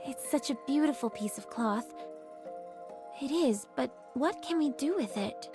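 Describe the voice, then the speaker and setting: A woman playing a composed, gentle young female squad leader in a dramatic video game, with earnest, measured, polished delivery. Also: high voice, lively intonation. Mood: tender, wistful. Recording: game dialogue over clear background music.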